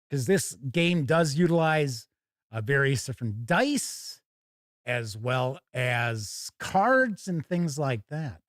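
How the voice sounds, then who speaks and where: Animated American man hosting gaming news. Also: a little high, no echo, studio quality. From United States. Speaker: host of a tabletop gaming news show. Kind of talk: live news commentary with casual chat asides.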